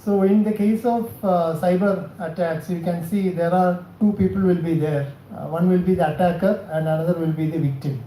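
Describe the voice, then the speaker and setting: A man with a South Indian accent delivers a technical lecture in a very mellow, dark voice. He moves haltingly, restarting phrases and putting questions to his listeners. The room is clearly echoey, with a faint noise behind him. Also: high-pitched, steady tone. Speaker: cybersecurity scientist giving a training session. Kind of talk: spoken lecture to a class. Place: indoor college session room.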